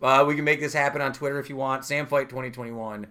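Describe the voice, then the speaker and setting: A man's voice: medium pitch, fairly even intonation, fast talker, bright, forceful tone, a little hiss or hum. A man hosting a podcast in casual chat, talking loosely with lots of fillers and laughs, his voice worn from talking all day. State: losing his voice. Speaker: podcast host.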